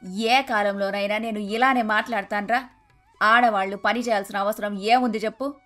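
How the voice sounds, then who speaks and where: A woman playing a traditional, domineering and sharp-tongued older mother-in-law in a cartoon moral story. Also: medium pitch, steady tone, moderate pace, close to an everyday voice. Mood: stern, scolding. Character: bossy, traditional, scolding older mother-in-law and household matriarch. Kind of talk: dramatised moral story dialogue. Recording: music behind the voice.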